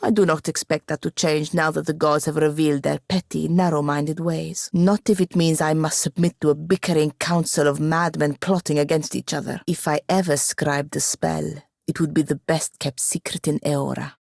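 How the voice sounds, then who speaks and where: A woman's voice: low-pitched, fairly even intonation, normal speed, vocal fry, hardly any pauses, darkish tone, no room sound. An actress playing a proud, bookish young wizard woman in a fantasy video game, speaking formally with a dry, haughty manner. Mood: scornful. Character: proud, bookish, sharp-tongued young wizard woman, newly freed apprentice. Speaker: companion character in a fantasy role-playing game. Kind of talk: scripted video game dialogue.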